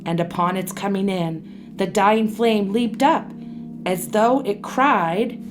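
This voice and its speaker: A woman narrating a radio play in a steady storytelling voice. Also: mid-range voice, takes it slowly, over music.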